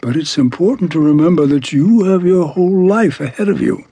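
A man playing a gentle, doting elderly grandfather in an audio drama, folksy, with halting exclamations and sighs. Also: fairly high pitch, slightly rough. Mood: gently concerned. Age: older.

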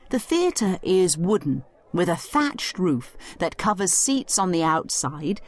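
A woman giving scripted educational narration as a study guide, instructive and expressive, speaking directly to the listener. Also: fairly low pitch.

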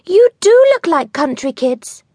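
Woman playing a snooty high-voiced young girl. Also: sing-song, a little slow, mellow, no echo, studio quality, heavily acted. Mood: scornful. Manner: haughty, emphatic, dismissive. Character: snooty, dainty young girl, scornful sceptic. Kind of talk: children's audiobook character voice.

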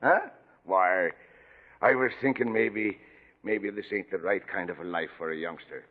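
An actor playing a gruff but tender old lighthouse keeper in a radio drama, speaking affectionately in folksy seafaring dialect. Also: male voice, deep voice, unhurried. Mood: tender, wistful. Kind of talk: 1940s radio acting. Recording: dull vintage radio broadcast, long reverb.

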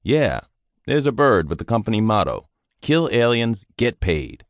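A man's voice: lowish voice, very animated, a little slow, no echo, studio quality, pitched above the performer's own voice. A man voicing a naive, eager young army private who asks earnest questions. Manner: wide-eyed, earnest questioning.

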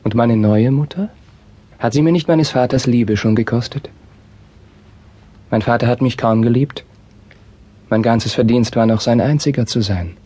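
An actor playing a young, bitter and passionate Spanish crown prince in a classical stage drama, with declamatory, intense delivery. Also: male voice, mid-range voice, moderate pace, long pauses, warm tone, breathy edge, lightly acted. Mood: bitter grief. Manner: declamatory, emotionally intense, formal verse delivery.

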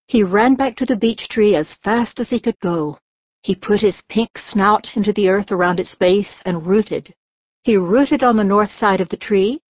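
A woman reading a children's storybook as an audiobook narrator, in an expressive storytelling manner. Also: mid-range voice, dry recording. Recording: dull, lo-fi audiobook sound.

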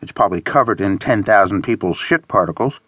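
A man's voice: on the low side, a little fast, fairly bright tone, husky, dry recording, muffled recording. A man voicing a grumpy, reluctant, sardonic traveler in audiobook dialogue. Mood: dry, sardonic. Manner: grumbling, sardonic.

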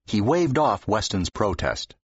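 A man's voice: on the low side, very animated, measured pace, slightly rough, dry recording, lo-fi sound. A man reading scripted fiction narration as an audiobook narrator.

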